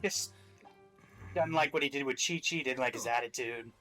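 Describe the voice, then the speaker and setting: A man's voice: mid-range voice, moderate pace, music in the background, low-quality recording. A man co-hosting a reaction video, giving casual, opinionated commentary on game trailers in a wry way.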